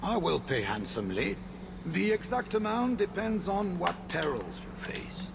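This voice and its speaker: A haughty mine owner for a video game, French-accented and dismissive of the people he employs. The voice is fairly high for a man and gravelly with fry. Clear noise runs behind the condescending, money-minded delivery. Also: big pitch swings, unhurried, heavily acted. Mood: evasive, businesslike. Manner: condescending, smooth-talking.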